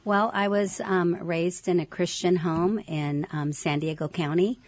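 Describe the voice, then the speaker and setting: A Californian woman telling how she met her husband, soft and a little hesitant. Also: on the low side, steady tone, measured pace, no room sound. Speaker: interview guest, pastor's wife. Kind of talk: personal storytelling. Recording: muffled, dry radio studio sound.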